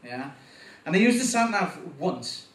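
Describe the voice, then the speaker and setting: A man with a Welsh accent performing a stand-up comedy set, getting animated at punchlines. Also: a little slow, recorded from a distance, slight background noise. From Wales. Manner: animated, voice jumps up in pitch at punchlines. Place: comedy club stage. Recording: echoey room, voice from afar.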